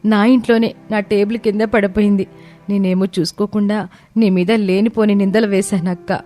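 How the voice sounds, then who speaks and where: A woman's voice: mid-range voice, fairly even intonation, moderate pace, mellow, faint music behind, close to an everyday voice. A woman voicing an emotional, exclamatory and pleading younger sister-in-law in a family story. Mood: tearful pleading.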